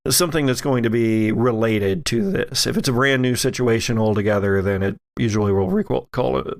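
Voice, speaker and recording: A man narrating a tabletop role-playing game as game master, haltingly searching for words as he describes scenes. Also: medium pitch, vocal fry.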